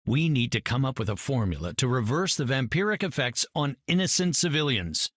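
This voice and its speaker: An actor playing an earnest, self-assured scientist hero in a video game, articulate and formal. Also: male voice, medium pitch, lively intonation, brisk, fairly bright tone, no room sound, studio quality. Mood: concerned. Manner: articulate, formal, matter-of-fact.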